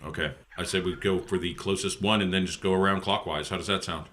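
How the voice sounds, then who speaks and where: A man's voice: deep voice, fairly even intonation, fast talker, a bit bright, a little hiss or hum. A man chatting casually as a player in a tabletop role-playing game.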